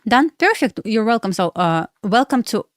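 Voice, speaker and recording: A woman's voice: on the high side, big pitch swings, moderate pace, dry recording. Young Belarus-born female streamer, English, casual and chatty, dry voice. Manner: chatty, addresses viewers as guys, frequent uh fillers, non-native phrasing. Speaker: livestream host and day trader. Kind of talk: casual livestream chat with viewers.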